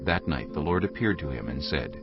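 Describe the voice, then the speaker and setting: A man's voice: extremely low pitch, lively intonation, brisk. A man narrating a dramatized scripture reading in a solemn storytelling style. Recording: dull recording over soft music.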